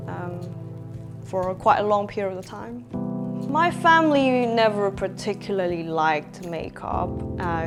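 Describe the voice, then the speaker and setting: A woman in her twenties from Hong Kong, talking in a sit-down interview as a makeup artist, pausing often with "um" and laughing between thoughts. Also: lowish voice. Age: 20s. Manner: reflective, frequent "um" fillers, laughs between thoughts. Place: bright room indoors, seated in armchair. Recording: music playing clearly behind.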